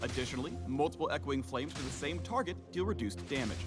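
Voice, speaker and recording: A man's voice: medium pitch, fast. A man narrating a scripted gameplay spotlight in a polished, emphatic and playful way, with music under his voice. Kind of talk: scripted game promo narration.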